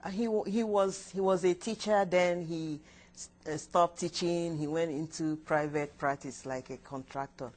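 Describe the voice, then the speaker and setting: A Nigerian woman whose first language is Igbo, answering interview questions haltingly, with restarts and short yes answers. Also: fairly low pitch, fairly even intonation, darkish tone. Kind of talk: recorded counseling interview.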